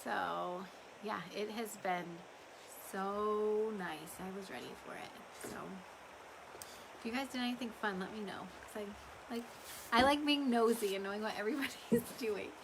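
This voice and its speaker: A woman's voice: medium pitch, normal speed, creaky, background noise. A woman chats casually and ramblingly to customers on a livestream, her voice a little strained from talking. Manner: rambling, chatty. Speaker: small business owner hosting a live update.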